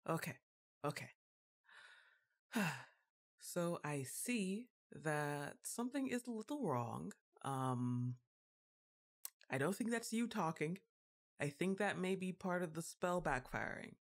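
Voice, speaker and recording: A woman playing a goth giantess witch girlfriend, exasperated and fondly bossy, with a drawn-out teasing delivery in an intimate ASMR roleplay. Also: lowish voice, animated, full character voice. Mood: exasperated, worried. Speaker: audio roleplay performer.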